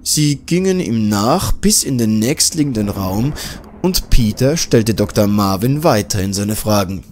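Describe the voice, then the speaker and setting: A man calmly narrating his own self-written horror story as an audiobook. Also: fairly low pitch, a little slow, slight background noise.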